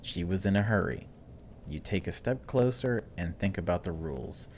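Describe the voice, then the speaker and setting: A man's voice: low voice, expressive, normal speed, a little mellow, slight background noise. A man giving a casual, chatty let's-play, reading game text aloud and adding wry asides. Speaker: video game streamer.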